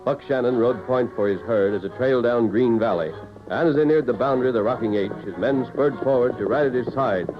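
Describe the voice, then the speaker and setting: A man's voice: medium pitch, fairly quick. A man with an American accent narrating a 1940s radio western in a dramatic storytelling style. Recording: dull old radio recording, music behind.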